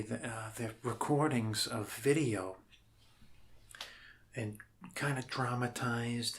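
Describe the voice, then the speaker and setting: A man in an ASMR role-play playing a friendly doctor who rambles casually and haltingly to reassure an alien patient. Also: mid-range voice, fairly bright tone, a little hiss or hum, close to an everyday voice. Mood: soothing, chatty.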